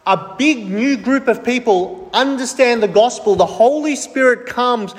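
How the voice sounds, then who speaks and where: A male preacher with a notably high voice delivers a sermon. He speaks emphatically, putting questions to his listeners and answering them himself. His voice rings out with the long reverb of a large hall. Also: unhurried.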